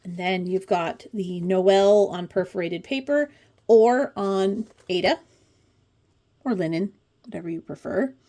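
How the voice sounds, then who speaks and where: A woman's voice: mid-range voice, a little slow, dark tone. Casting: woman in her forties, mid-range voice, informal craft host with a chatty, dryly humorous delivery. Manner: chatty, wry asides. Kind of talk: casual commentary on a pattern book flip-through.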